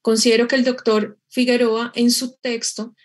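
A woman's voice: on the high side, little pitch movement, moderate pace, breathy edge. A young woman reading a prepared, formal book review aloud over a video call. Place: room indoors. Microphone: video call webcam.

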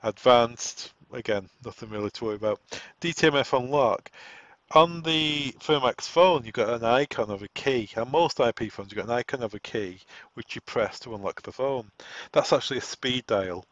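A man talks through a technical setup in an informal, explanatory way, as if thinking aloud. His pitch sits in the middle of the male range. The recording is dry and somewhat dull, with a lo-fi sound. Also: normal speed, fairly bright tone, no room sound. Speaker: product trainer giving a setup walk-through. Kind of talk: informal software tutorial narration.

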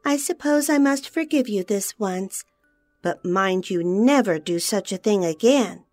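A woman voicing a proud, hot-tempered female fairy of a fountain, speaking in a shrill, imperious way. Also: lively intonation, measured pace, darkish tone, dry recording, faint music behind, close to an everyday voice. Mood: scolding.

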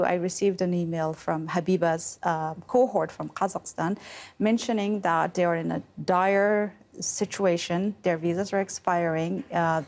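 A woman's voice: fairly low pitch, darkish tone. A middle-aged woman from Afghanistan speaking earnestly in a TV studio interview on a lapel mic. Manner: earnest, measured, with occasional restarts. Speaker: founder of a women's education group, former Olympian. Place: TV news studio.

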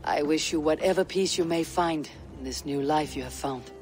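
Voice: medium pitch, normal speed, darkish tone, soft background music, lightly acted. A man playing a stoic, loyal young Viking warrior, guarded and earnest, in a dramatic video game cutscene. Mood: terse, hurt.

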